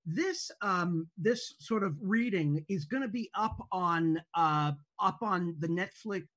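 A man making casual, off-the-cuff remarks on a video call in a hesitant, halting manner. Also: high-pitched, lively intonation, fairly bright tone. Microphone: computer video call.

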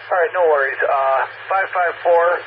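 A man's voice: on the high side, normal speed. A male police officer on patrol, talking tersely over a two-way radio. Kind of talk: terse field radio talk. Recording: tinny, noisy police scanner radio.

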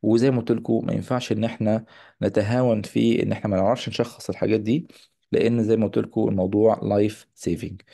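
Male lecturer, Egyptian accent, quick delivery with a mellow tone, informally announcing a course with English technical terms dropped in. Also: on the low side, flat delivery, gravelly. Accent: Egyptian Arabic. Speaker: radiology lecturer. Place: voice-over on a slide presentation.

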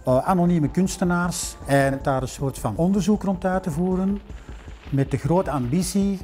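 A man in his fifties with a Flemish accent, a museum director recounting a collector's history in a documentary interview, unhurried and storytelling, with occasional "eh" fillers, on a lapel mic in a library room. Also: sits high, mellow.

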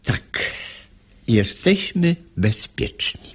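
A man's voice: mid-range voice, very animated, extremely slow, breathy edge, full character voice. An actor playing a pompous, stubborn middle-aged father in a radio play of rhymed verse, with a domineering, emphatic delivery. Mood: coaxing.

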